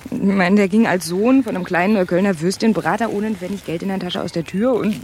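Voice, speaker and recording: A woman's voice: medium pitch, fast, a little mellow, slight background noise, close to an everyday voice. An actress playing a rich businessman's sardonic, outspoken daughter in a radio crime drama, with a blunt, mocking way of talking. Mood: bitter, wistful.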